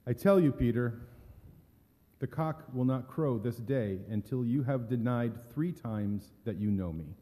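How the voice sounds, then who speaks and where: A man acts out a gentle, solemn holy teacher in a dramatic scripture reading. The voice is very dark and mellow, middle in pitch, with subdued, earnest delivery. Long reverb of a hall surrounds it. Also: animated, a little slow, close to an everyday voice. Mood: earnest, instructing.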